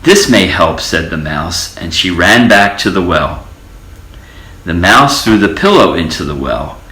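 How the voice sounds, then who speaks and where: A man reading a children's storybook aloud as an expressive storyteller, in an echoey room. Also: deep voice, a little slow. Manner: expressive storytelling with character voices. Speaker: storybook reader.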